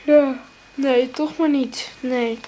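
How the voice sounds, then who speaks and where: Radio drama casting: small boy, high voice, plain-spoken, earnest and caring. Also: fairly even intonation, slow, warm tone, slight background noise, poor audio. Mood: sleepy, earnest. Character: young boy, matter-of-fact, caring toward his mother. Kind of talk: Dutch radio play acting.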